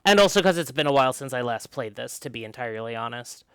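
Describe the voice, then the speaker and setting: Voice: medium pitch, expressive, quick pace, slight background noise. A game streamer chatting casually while playing a visual novel, with game music behind. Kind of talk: casual live stream chat. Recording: loud music under the voice. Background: loud game music.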